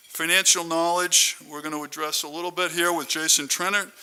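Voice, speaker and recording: A man's voice: on the high side, sharp tone, clean tone, poor audio. A man hosting a conference, giving a formal, prepared introduction speech. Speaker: conference host introducing keynote speaker.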